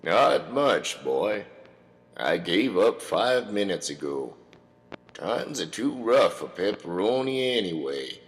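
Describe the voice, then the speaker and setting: A man playing a gruff, weary mountain father, stern and protective, in a comedic radio drama. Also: low-pitched, sing-song, slow pace, long reverb tail, exaggerated voice.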